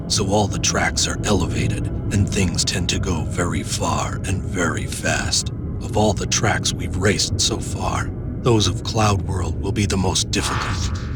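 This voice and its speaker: An actor playing a tough, sardonic combat racer, narrating game briefings in a dry way over loud music. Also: male voice, low-pitched. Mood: grim, confident. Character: gritty, sardonic male combat racer briefing his team. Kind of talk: scripted game cutscene narration.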